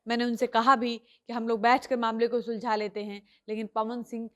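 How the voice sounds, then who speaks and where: Lady doing online news, kinda high voice, explains stuff step by step. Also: little pitch movement, normal speed, a bit bright. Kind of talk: explanatory news report, retelling a phone call.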